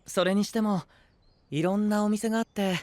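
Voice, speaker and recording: A man playing a gentle, curious, polite young knight in an anime voice drama, speaking softly with calm teasing. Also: high voice, normal speed, no room sound, close to an everyday voice. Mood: relaxed, chatty.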